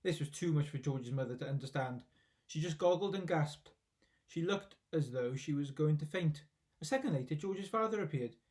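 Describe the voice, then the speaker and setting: A man's voice: a little high, expressive, normal speed, darkish tone. A man narrating a children's story expressively, as a reader reading aloud from a book.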